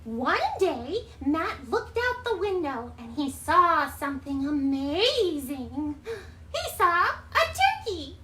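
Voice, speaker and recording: A woman voicing an excitable female puppet bird who reads storybooks aloud with theatrical exclamations and droll asides. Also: sits high, slow, full character voice. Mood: bright storytelling. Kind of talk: casual read-aloud with playful asides on a livestream. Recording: roomy echo, faint background noise.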